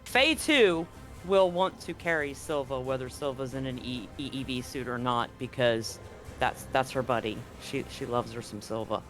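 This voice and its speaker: A man's voice: medium pitch, normal speed. A man running a tabletop sci-fi roleplaying game, explaining rules conversationally and narrating scenes with some drama. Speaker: game master. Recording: faint music under the voice. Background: soft background music.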